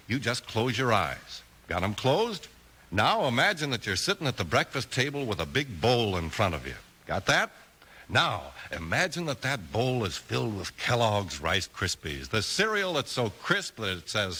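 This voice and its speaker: An American man works as a vintage radio announcer, selling and narrating in 1950s style. His delivery is bright and animated, and his voice carries some rasp. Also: mid-range voice, moderate pace.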